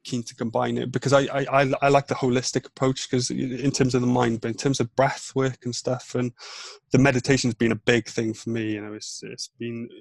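Halting, reflective Welsh man. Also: medium pitch, gravelly, no room sound. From Wales. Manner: reflective, halting with frequent restarts. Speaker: podcast guest. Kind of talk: casual interview chat.

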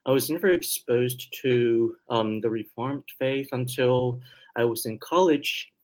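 Guest, male, middle-aged, slight Taiwanese accent, slow and halting, mid pitch. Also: a little mellow. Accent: slight Taiwanese Mandarin accent. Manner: hesitant, many ums and restarts. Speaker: guest theologian. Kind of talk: interview answers.

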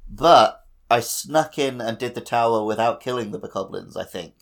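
A man's voice: mid-range voice, normal speed, creaky. A man chatting casually about a video game as a podcast co-host.

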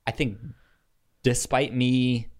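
A man co-hosting a podcast in casual chat, halting and repeating words as he searches for them. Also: medium pitch, level tone. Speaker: podcast co-host reviewing anime.

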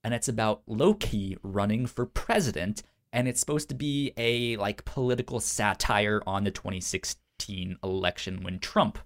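A man co-hosting a casual pop culture podcast, talking in a halting way with a pronounced stutter that repeats sounds and syllables. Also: lowish voice. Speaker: podcast co-host.

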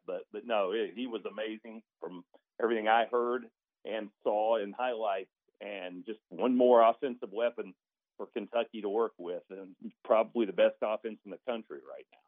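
A man's voice: on the low side, no echo. A man, a sports announcer appearing as a guest, talking through basketball analysis in a talkative, conversational way with folksy, emphatic asides. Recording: tinny phone-like line.

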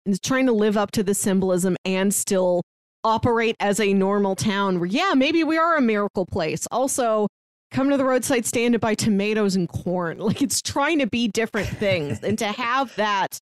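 An enthusiastic woman co-host talks through a podcast in casual chat, laughing easily. Her voice sits at a mid pitch and is recorded close and dry, with no room sound. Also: lively intonation, normal speed, no echo.

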